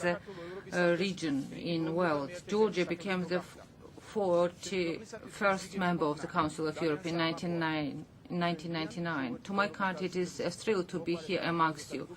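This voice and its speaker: An interpreter voicing a formal speech into English, hesitant and full of fillers and restarts. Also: mid-range voice. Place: assembly chamber.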